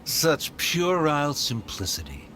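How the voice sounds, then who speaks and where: Haughty, cold scholar. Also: male voice, fairly high pitch, huge pitch swings, sharp tone, slight background noise, heavily acted. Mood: disdainful. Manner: pompous, measured. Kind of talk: video game voice acting.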